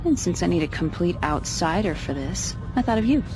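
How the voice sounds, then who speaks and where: A woman's voice: lowish voice, expressive, a little fast, background noise. An actress playing a businesslike, dry-witted female space security commander in a game cutscene.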